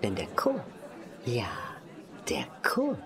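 A woman's voice: extremely low pitch, huge pitch swings, takes it slowly, a crowd in the background. A woman narrating an audio drama in a theatrical, wry and musing way, often slipping towards a whisper.

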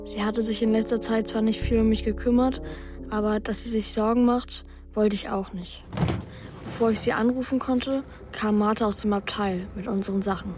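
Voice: medium pitch, fairly even intonation, moderate pace, music in the background, close to an everyday voice. A performer voicing a young boy in a children's radio play, thoughtful and hesitant, worried about his brother, both acting and narrating. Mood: pensive.

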